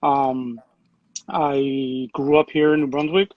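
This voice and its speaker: A man's voice: mid-range voice, slow, dry recording, highs cut off. Man talking loosely with many fillers. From Puerto Rico. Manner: relaxed, full of fillers and restarts. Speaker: city program director. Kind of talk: conversational interview answers.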